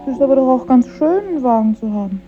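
A woman's voice: sits high, lively intonation, quick pace, music in the background. An actress playing a practical, dissatisfied wife needling her husband in a 1950s German radio drama. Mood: pointed, reproachful. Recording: muffled old radio recording.

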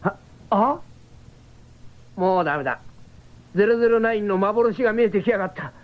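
A woman playing a cocky, comic young shapeshifting cyborg with a cheeky, excitable, theatrical delivery in a 1970s radio drama. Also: mid-range voice, normal speed, close to an everyday voice. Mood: dejected. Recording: dull 1979 radio broadcast.

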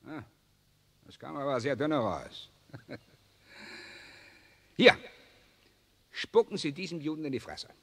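Ein Mann spielt in einem alten Hörspiel einen sadistischen, spöttischen SS-Offizier, der höhnt und Befehle bellt. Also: male voice, fairly high pitch, sing-song, takes it slowly, pauses often, a bit bright, breathy edge, heavily acted. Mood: sneering. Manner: sneering, taunting, barking orders. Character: sadistic mocking male SS officer. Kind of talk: 1960s German radio play acting. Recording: reverberant old mono radio play.

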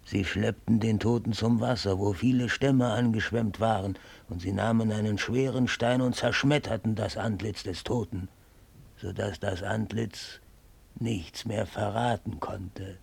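Sly one-eyed retainer, radio play. Very gravelly, slow, solemn and archaic in phrasing. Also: male voice, fairly low pitch, steady tone, a little mellow, heavily acted. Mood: grave, conspiratorial. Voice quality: gravelly, husky. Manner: measured, ceremonious, archaic storytelling. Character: sly one-eyed male servant reporting to his raja. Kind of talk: solemn German radio drama acting.

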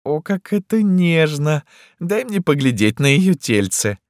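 A man voicing a lecherous, pompous emperor in an audiobook, cooing and grandiose, with drawn-out exclamations. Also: on the high side, very animated, no room sound, studio quality, voice pushed up. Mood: wheedling. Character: theatrical lecherous emperor, wheedling, grandiose, gallant and menacing.

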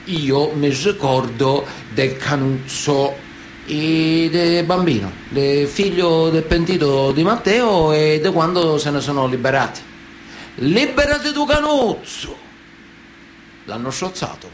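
A chilling Sicilian mafioso in radio drama: Sicilian accent, fairly high male voice, widely swinging intonation, matter-of-fact storytelling. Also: expressive, slow pace, slight background noise. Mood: mocking, exclaiming. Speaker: actor in radio theatre.

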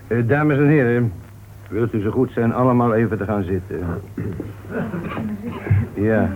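A man playing a composed police inspector in a radio play, courteous and unhurried as he insists on answers. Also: medium pitch, close to an everyday voice. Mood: calm, firm. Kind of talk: Dutch radio play acting. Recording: noisy, phone-like old radio recording.

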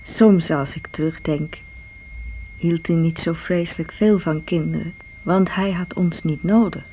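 An actress playing a calm, reflective grown daughter, speaking in a measured, matter-of-fact radio play monologue on an old recording. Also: female voice, lowish voice, close to an everyday voice. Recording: muffled radio-play recording with faint hum.

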